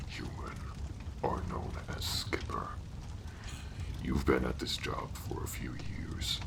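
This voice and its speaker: An actor playing a ruthless, boastful alien pirate in a sci-fi audio roleplay, growling threats with a sneer. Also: male voice, very deep voice, full character voice. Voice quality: gravelly, breathy growl.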